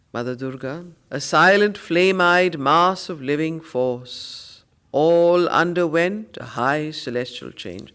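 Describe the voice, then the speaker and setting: Slow, deliberate woman teacher. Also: deep voice, fairly bright tone. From India. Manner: reverent, deliberate, emphatic, repeats phrases. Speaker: spiritual study circle teacher. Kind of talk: poetry recitation with teaching commentary.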